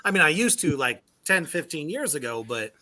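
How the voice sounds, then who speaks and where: A man's voice: on the high side, big pitch swings, normal speed, sharp tone. A man hosting a casual livestream chat with a band, loose and profane, laughing often. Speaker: podcast host.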